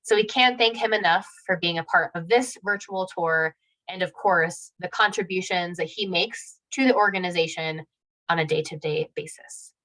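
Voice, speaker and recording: A woman's voice: fairly low pitch, normal speed, bright, forceful tone, poor audio. A woman speaking as host of an online event, giving prepared remarks to the audience.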